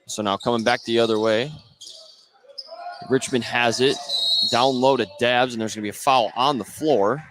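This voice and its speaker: Guy doing live hoops play-by-play, short clipped phrases, medium pitch, bit of gym noise behind. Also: steady tone, normal speed, long pauses. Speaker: play-by-play sports commentator. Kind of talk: live basketball radio play-by-play commentary. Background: basketball game with bouncing ball.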